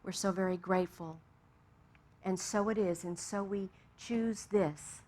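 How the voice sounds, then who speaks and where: A woman minister speaks in a middle-pitched voice. She guides a quiet meditation, then moves into a sermon told as a gentle personal story with amused asides. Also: fairly even intonation, unhurried. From Texas.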